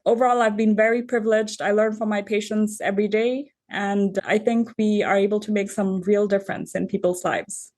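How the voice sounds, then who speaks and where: A woman from Bangladesh, an endocrinologist, giving measured, methodical explanations as a podcast guest. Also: mid-range voice, steady tone, a little mellow. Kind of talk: explanatory interview answers.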